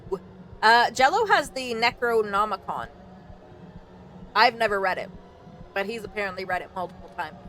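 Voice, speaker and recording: A woman giving casual, expressive let's-play commentary as a gaming streamer, informal and prone to swearing. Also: medium pitch, normal speed, long pauses, slight background noise, low-quality recording.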